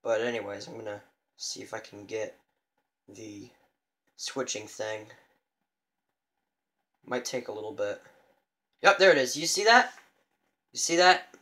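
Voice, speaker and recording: A man giving a casual show-and-tell as a vlogger, rambling and halting as he goes. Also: fairly low pitch, sharp tone. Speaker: vlogger showing a radio collection. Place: indoors in a room at home.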